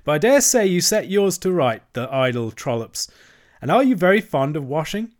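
A man's voice: fairly high pitch, big pitch swings, normal speed, voice pushed up. A man voicing a hearty, shrewd middle-aged barge woman who speaks politely with a sly edge.

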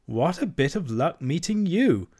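A hearty middle-aged barge woman from a storybook, as a male narrator puts her on. Her voice is raised and lively, polite on the surface and quietly sly underneath. Warmly chatty and steady. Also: on the high side, measured pace, slightly rough, pitched above the performer's own voice, full character voice.

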